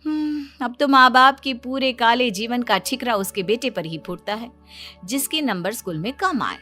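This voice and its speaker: Wry, bright-voiced woman storyteller. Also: fairly high pitch, normal speed, soft background music. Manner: wry, addresses listeners directly. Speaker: story narrator. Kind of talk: dramatic storytelling narration for a cartoon tale.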